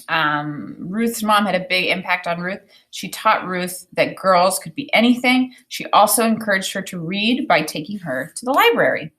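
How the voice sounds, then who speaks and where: Woman teacher reading a storybook aloud. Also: medium pitch. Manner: expressive, deliberate storybook phrasing with explanatory asides. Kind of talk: read-aloud of a children's book with think-aloud commentary.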